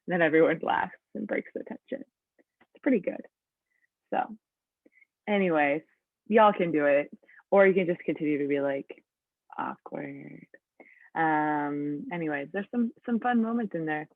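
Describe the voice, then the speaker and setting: Chatty late-20s teacher on a thin call line. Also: female voice, sits low, measured pace, pauses often, no echo. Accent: Californian English. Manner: chatty, upbeat, lots of ums and likes. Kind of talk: online class, casual teaching. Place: online video class. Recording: thin phone-like audio over a video call.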